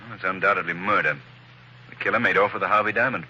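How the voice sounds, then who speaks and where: A man playing a calm gentleman detective with dry humor in a 1940s radio drama. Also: low-pitched, fast, highs cut off, a little hiss or hum.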